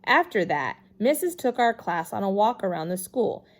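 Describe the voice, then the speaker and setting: A woman giving an expressive read-aloud of a children's chapter book in an animated manner. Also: mid-range voice, normal speed. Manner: animated, acts out the dialogue. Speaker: storybook reader.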